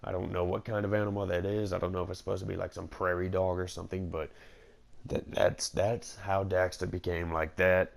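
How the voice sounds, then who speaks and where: A man's voice: deep voice, flat delivery, brisk, vocal fry. A man giving casual, rambling, slangy gameplay commentary. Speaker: gaming commentator. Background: game music.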